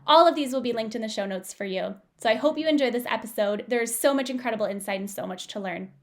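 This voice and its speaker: A woman's voice: fairly high pitch, fast talker. A young woman hosting a podcast, speaking with upbeat enthusiasm and laughing often. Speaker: podcast host. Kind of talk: podcast promo and interview.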